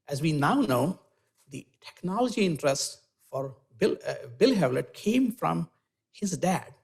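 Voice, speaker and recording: A man's voice: on the high side, gravelly. A man moderating a university lecture, giving a formal, eager introduction and then interviewing the guest, with small hesitations. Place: university auditorium, live audience.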